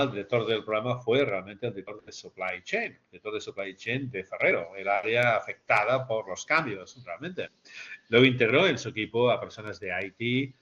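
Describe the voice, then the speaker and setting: A man with a fairly low voice presents like a lecturer explaining slides. He repeats key phrases for emphasis and poses questions that he answers himself. The sound is somewhat dull and lo-fi. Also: a bit bright. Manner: emphatic repetition, rhetorical questions. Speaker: lecturer presenting a business case to master's students.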